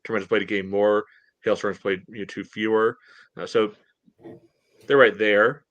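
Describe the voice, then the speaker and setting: Low-voiced blunt man, dry close sound. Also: steady tone, moderate pace, a bit bright, dry recording, lo-fi sound. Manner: blunt, sweary, leaning on "you know". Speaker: sports podcast co-host. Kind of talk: casual fan chat about soccer.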